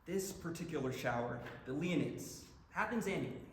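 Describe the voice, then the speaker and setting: A man's voice: fairly high pitch, expressive, moderate pace. A man acting an enthusiastic young astronomy buff in a staged play reading, chatty and explanatory. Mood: wonder. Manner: explanatory, chatty, stumbles on words. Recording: echoey room with background noise.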